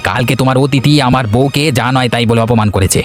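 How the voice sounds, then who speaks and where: Very fast-talking, blustering village husband played by a man. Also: mid-range voice, faint music behind, lightly acted. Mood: angry, confrontational. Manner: blustering, argumentative. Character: hot-headed village husband defending his wife. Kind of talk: Bengali cartoon voice acting.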